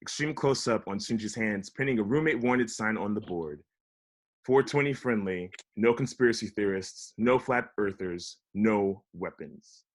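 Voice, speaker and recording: A man reading a comedy script, both as an incredulous young roommate and as the dry, wry reader of stage directions, with a husky voice. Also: on the low side, unhurried. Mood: dry narration. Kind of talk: table read of comedy script, with narrated directions. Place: at home, remote table read.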